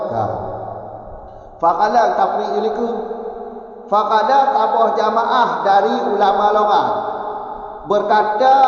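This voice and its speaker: A man with an Acehnese accent teaching a religious text step by step and often checking that his listeners follow. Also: high-pitched. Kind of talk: classroom lecture on an Islamic text. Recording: echoey hall, dull lo-fi audio.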